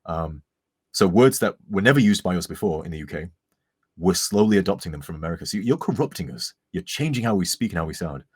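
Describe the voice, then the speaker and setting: A man's voice: sits low, lively intonation, quick pace, breathy edge, dry recording. A man from England with a mostly neutral English accent and a slight northern tint, chatting casually and rambling on a live charity stream. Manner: chatty, rambling, self-deprecating.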